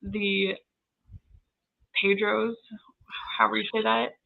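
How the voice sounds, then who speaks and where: A woman's voice: medium pitch, very animated, slow pace, creaky, narrow, tinny audio. A woman co-hosting a casual fan chat about fantasy novels, heard through thin call audio. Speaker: co-host of a book discussion.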